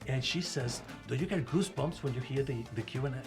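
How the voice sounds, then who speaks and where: An older man born in Cuba, co-hosting a casual radio chat, animated and full of restarts. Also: medium pitch, brisk, creaky, music in the background. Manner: animated, restarts often, rising when stirred. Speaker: co-host.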